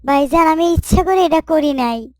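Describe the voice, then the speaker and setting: A woman's voice: high voice, normal speed, no room sound, natural voice. A woman voicing a humble, timid young housemaid in a moral cartoon, meek and deferential. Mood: pleading. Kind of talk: Islamic moral cartoon voice acting.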